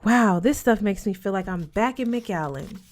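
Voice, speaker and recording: A woman's voice: medium pitch, lively intonation, moderate pace, darkish tone, close to an everyday voice. A woman voicing a chatty, easily delighted teenage boy at boarding school, casual and eager.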